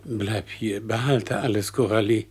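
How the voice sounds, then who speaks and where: Radio play: hurt, subdued wealthy suitor, slow pleading delivery, heavily husky voice. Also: male voice, lowish voice, steady tone, darkish tone. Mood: pleading, sorrowful. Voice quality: husky, breathy. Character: hurt, gentle older rich lover, pleading. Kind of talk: German radio play acting.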